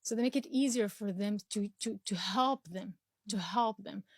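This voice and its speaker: A woman's voice: mid-range voice, moderate pace, dry recording, studio quality. A young woman from Israel whose first language is Arabic, answering interview questions earnestly with frequent restarts and fillers. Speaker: interview guest.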